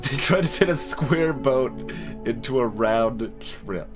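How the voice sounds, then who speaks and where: A man's voice: medium pitch, lively intonation, slow, over music. A man giving casual video game commentary, thinking aloud as he plays. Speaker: let's play host.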